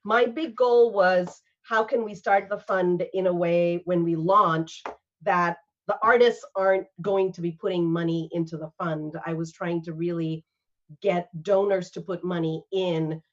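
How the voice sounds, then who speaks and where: A woman's voice: on the low side, steady tone, measured pace. A woman from Singapore, an arts fund organizer, speaking earnestly and from the heart in a panel conversation over a video call. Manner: earnest, heartfelt, with frequent fillers. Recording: dull lo-fi video call audio.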